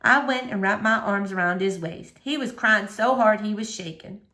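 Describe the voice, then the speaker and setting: A woman reading a children's novel aloud as expressive story narration. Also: medium pitch, normal speed. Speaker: storybook reader.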